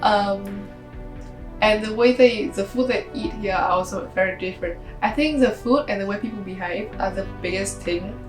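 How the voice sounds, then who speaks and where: A woman from Vietnam with as an answers in careful, hesitant English full of "like" and "um". Her pitch is ordinary for a woman. The sound is roomy, with music playing underneath. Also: normal speed. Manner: hesitant, many "like" and "um" fillers. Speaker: international student interviewee. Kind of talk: interview answers.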